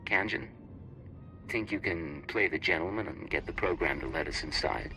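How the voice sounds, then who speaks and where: A man's voice: low voice, normal speed, pushed and bright, faint music behind. A man playing a hard, commanding covert agent in a game cutscene, curt and authoritative.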